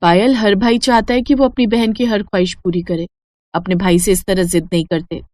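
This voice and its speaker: A woman voicing a sensible, gentle young village wife in a cartoon story, earnest and soothing. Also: mid-range voice, steady tone, normal speed, dry recording, close to an everyday voice. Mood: gently reproving.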